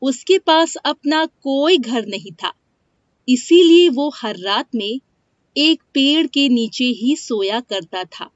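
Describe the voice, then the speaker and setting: Slow, high-voiced woman storyteller. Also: dry recording. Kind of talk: scripted moral-story narration. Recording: dry, dull lo-fi studio sound.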